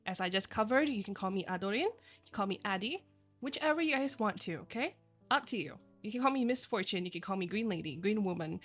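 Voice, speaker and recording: A woman whose first language is Chinese, chatting casually with her viewers on a live stream, bubbly and quick to giggle. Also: a little high, bright, forceful tone. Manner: bubbly and giggly, laughs often; chatty, rambling asides.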